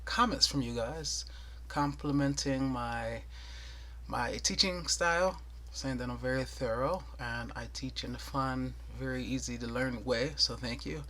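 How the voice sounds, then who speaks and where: Tutorial host, male, soothing voice, mid pitch, patient and chatty, counts stitches aloud. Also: a little slow, pushed and bright, a little hiss or hum. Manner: thorough, chatty, with light laughs and counting aloud. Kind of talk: casual step-by-step tutorial.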